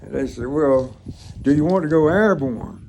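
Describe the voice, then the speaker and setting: A man's voice: a little high, normal speed, mellow, slight background noise. An elderly man in his eighties with a Southern American accent, telling stories from his life in a folksy, rambling way and acting out remembered conversations. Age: 80s. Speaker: grandfather recounting his life. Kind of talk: casual oral storytelling.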